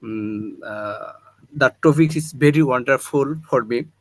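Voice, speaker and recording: Slow-speaking man in an online audience, dry close sound, stumbling through fillers. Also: medium pitch, no echo. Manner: very halting, full of filler sounds. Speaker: audience member. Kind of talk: offering compliments in a webinar.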